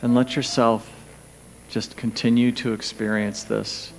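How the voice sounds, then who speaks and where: A man leading a guided meditation, speaking gently and soothingly in short, spaced phrases with pauses. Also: medium pitch, level tone, gravelly, a little hiss or hum, rough recording. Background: background music.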